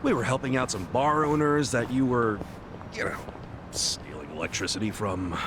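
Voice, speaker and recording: A man's voice: mid-range voice, normal speed, noisy background, close to an everyday voice. An actor playing an upbeat, trusting, easily flustered male adventurer in an English video game dub. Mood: sheepish. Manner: eager, stammering when flustered. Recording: music behind dialogue.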